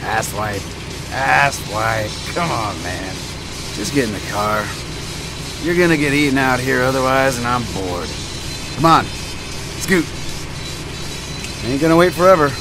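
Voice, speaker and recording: An actor playing a brash middle-aged American drifter in a video game, talking in a taunting, cocky, folksy way. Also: male voice, mid-range voice, lively intonation, takes it slowly, long pauses, music in the background. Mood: impatient. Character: brash, needling redneck drifter in a pickup truck. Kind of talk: naturalistic video game acting.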